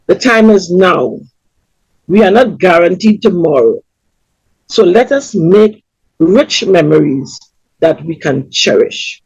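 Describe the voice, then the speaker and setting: A Trinidadian woman hosts a community webinar, her husky voice swinging up and down in an animated announcer style. The sound is dry and a little dull, like lo-fi video call audio. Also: mid-range voice, dry recording. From Trinidad and Tobago. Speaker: webinar host.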